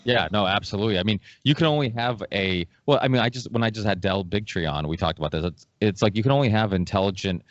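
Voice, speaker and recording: Deep-voiced male podcast host, rambling and emphatic. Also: fast talker, few pauses, no echo. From Massachusetts, born in Portugal. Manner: rambling, emphatic, repeats himself. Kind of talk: casual podcast conversation. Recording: dull lo-fi audio.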